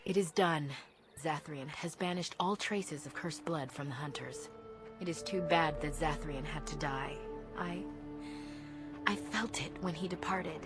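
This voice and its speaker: Low-voiced, solemn elf leader. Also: female voice. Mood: solemn resolve. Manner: formal, measured, solemn. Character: solemn young female elf clan leader, dutiful. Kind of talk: video game character acting. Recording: loud music under the voice.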